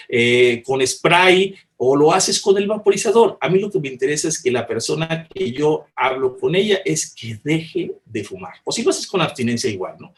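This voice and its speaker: A man from Mexico, a panelist speaking earnestly in a conference talk, restarting phrases and explaining with everyday examples, heard over a video call. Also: on the high side.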